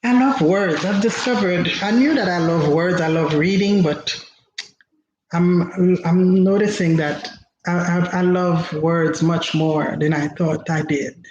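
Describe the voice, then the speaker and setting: A woman from Haiti whose first language is Haitian Creole, giving an inspirational talk as host, slow and reflective with frequent pauses. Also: fairly low pitch, a little mellow. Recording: roomy, dull lo-fi recording with faint noise.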